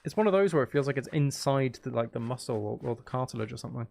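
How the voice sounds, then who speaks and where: A man's voice: medium pitch, lively intonation, quick pace, creaky, darkish tone, slight background noise. A man streaming a video game, chatting casually in a rambling, hesitant way as he thinks aloud. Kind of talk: casual live-stream chat while playing.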